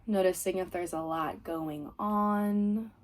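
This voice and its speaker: A woman leading a guided meditation as a teacher, giving gentle, soothing, unhurried instructions. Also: lowish voice, steady tone. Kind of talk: guided body scan meditation.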